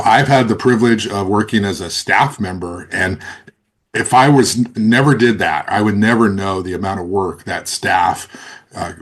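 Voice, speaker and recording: Man, lowish voice, interview host, friendly, rambling questions, lots of uh and you know. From California. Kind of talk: casual interview hosting.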